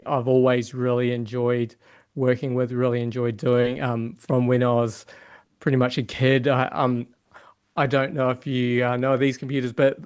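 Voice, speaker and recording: A middle-aged man with a New Zealand accent, chatting casually as an interview guest in hesitant, halting phrases. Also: medium pitch, clean tone. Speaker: podcast guest, software developer and yoga teacher.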